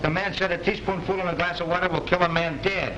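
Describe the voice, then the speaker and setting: A man's voice: high-pitched, fairly even intonation, fast, a bit bright, lo-fi sound. An actor playing a folksy, eager male sidekick in a 1930s film. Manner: colloquial, eager. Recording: old film soundtrack, heard from afar with hiss.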